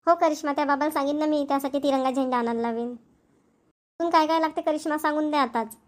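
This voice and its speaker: Woman doing a homely village mom, high voice, chatty, rural maharashtrian accent, cartoon. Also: steady tone, fast, close to an everyday voice. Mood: caring, chatty. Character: homely village wife and mother, chatty, agreeable. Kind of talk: cartoon family dialogue.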